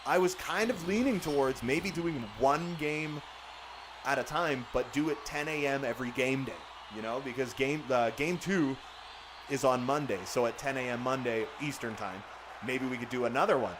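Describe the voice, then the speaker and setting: A young man doing lively play-by-play of a hockey video game from his home setup. He is jokey and excitable, with a mid-range voice that jumps to loud bursts when a play heats up. A faint hum of room ambience sits under his voice. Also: mid-range voice, normal speed. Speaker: gaming streamer doing play-by-play. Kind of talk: live-stream game commentary and chat reading.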